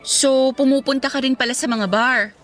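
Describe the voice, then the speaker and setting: A woman's voice: sits high, steady tone, normal speed, fairly bright tone, faint music behind. An actress playing a chatty, teasing woman in her twenties in a radio drama. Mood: flirty, prying.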